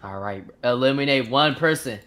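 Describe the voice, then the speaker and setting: A man moderating a call game, giving brisk casual directions. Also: medium pitch, fairly bright tone.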